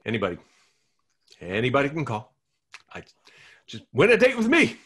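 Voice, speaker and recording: A man's voice: medium pitch, wildly swooping pitch, normal speed, a bit bright, husky, heavily acted. A man playing an eager, hopelessly romantic forty-five-year-old radio host in a comedy table read. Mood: eager, hopeful. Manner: eager, pleading.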